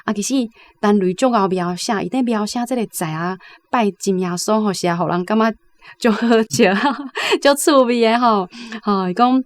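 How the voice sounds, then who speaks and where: A woman's voice: mid-range voice, normal speed. A woman with a Taiwanese accent giving chatty, conversational commentary on a story as a guest.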